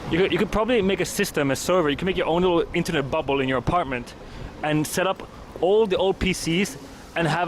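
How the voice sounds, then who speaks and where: A man streams live as he walks outdoors in wind and rain, chatting to his viewers in a loose, slangy way. Clear outdoor noise runs under his voice, caught on a mobile camera mic. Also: on the high side. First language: not Swedish. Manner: chatty, slangy, talks to chat. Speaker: livestreamer. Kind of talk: casual live-stream chat while walking.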